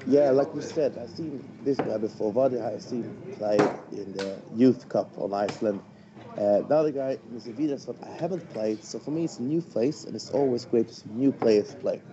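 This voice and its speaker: Chatty non-native English darts commentator. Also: male voice, mid-range voice, moderate pace, muffled recording, background noise. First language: not English. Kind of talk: live remote commentary. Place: home, concert noise nearby.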